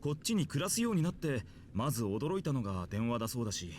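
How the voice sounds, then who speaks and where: Visual novel role: amused, talkative male authority figure played by a man, mid-pitched and very fast. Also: big pitch swings, slight background noise, natural voice. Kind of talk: Japanese visual novel voice acting.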